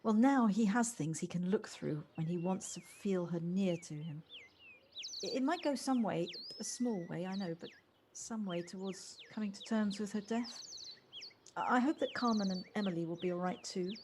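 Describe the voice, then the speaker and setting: A woman's voice: mid-range voice, normal speed, close to an everyday voice. A woman acting a kindly, hesitant city visitor in an audio play, politely stumbling over her first words. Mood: tender, sad. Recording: amateur home recording with background noise.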